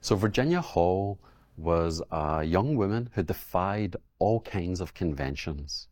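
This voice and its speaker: A man telling a historical story in a steady, explanatory way. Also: low voice, slow pace, dry recording, clean studio sound. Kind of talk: storytelling narration.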